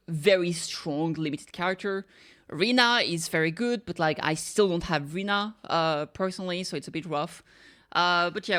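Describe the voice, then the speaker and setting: A woman's voice: fairly low pitch, a bit bright. A woman streamer chatting casually, rambling and thinking aloud as she reacts to games.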